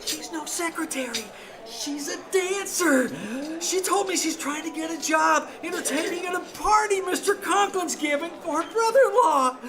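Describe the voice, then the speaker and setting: A woman playing an excitable, stammering high school boy in a live old-time radio comedy. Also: moderate pace, crowd noise. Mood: awestruck, eager. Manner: breathless, stammering, exclaiming. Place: small-town theater stage, live performance. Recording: live theater stage with audience.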